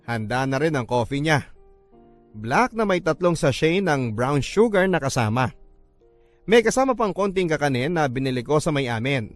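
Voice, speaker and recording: A man's voice: a little high, very animated, normal speed, no room sound. A man with a Filipino accent narrating a love story in a dramatic, scripted first-person radio storytelling style. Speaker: radio storyteller reading a listener's letter. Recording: music bed under the voice. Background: background music.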